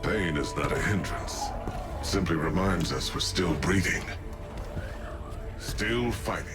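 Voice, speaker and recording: An actor playing a battle-hardened warrior in a video game cutscene, speaking tersely and stoically. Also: male voice, deep voice, takes it slowly, loud music behind the voice. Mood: stoic. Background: loud orchestral score.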